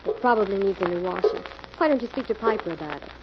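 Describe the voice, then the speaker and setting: A woman's voice: medium pitch, lively intonation, fast, close to an everyday voice. Lady doing a nosy no-nonsense detective's assistant, old radio show, lots of noise on it. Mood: practical, unimpressed. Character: Fern, inquisitive, practical female detective's assistant. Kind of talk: 1940s American radio mystery acting. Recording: noisy, muffled old-time radio recording.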